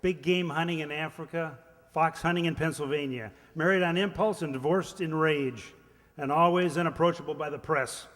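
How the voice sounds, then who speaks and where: A man's voice: a little high, moderate pace, fairly bright tone, lightly acted. A man playing a pompous, bossy magazine publisher in declamatory old radio-play style. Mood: pompous, commanding. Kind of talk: 1940s radio acting. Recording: echoey hall with long reverb.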